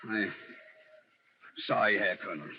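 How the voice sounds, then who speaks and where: A man's voice: on the low side, normal speed, lightly acted. Man acting a frightened Nazi officer, muffled radio. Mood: cowed. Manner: stammering, tense. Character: nervous Nazi junior officer, superstitious and cracking. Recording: muffled, noisy vintage radio broadcast.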